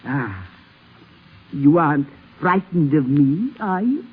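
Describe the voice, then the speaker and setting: An actress playing a very old, shrewd, commanding grandmother in a radio drama, speaking deliberately with needling questions. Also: low-pitched, sing-song, slightly rough, full character voice. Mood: probing, shrewd. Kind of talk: 1950s radio drama acting. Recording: vintage dull radio recording.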